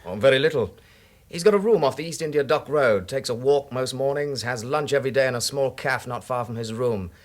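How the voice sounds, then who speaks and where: A man's voice: mid-range voice, fairly quick, natural voice. Guy playing a dutiful young brit detective sergeant in a radio play, mid-range voice, polite to the boss, bit wry. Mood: dutiful, reporting. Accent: British. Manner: deferential, matter-of-fact. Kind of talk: radio drama acting.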